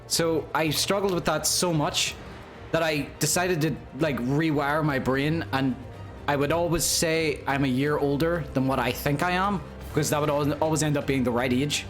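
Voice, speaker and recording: A man's voice: a little high, moderate pace, faint music behind. Rambling, joking man in his twenties. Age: 20s. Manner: rambling, joking, self-deprecating, laughs often. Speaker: livestreamer chatting with viewers. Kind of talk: casual live-stream chat.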